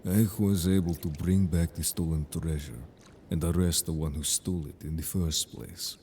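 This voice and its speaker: A male actor as a protective merfolk man with an extremely low, dark, mellow voice. He speaks in measured, formal phrases with calm assurance, like someone worldly and sure of himself, in an audio drama. There is some noise behind him. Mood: assured, explanatory. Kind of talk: audio roleplay drama acting.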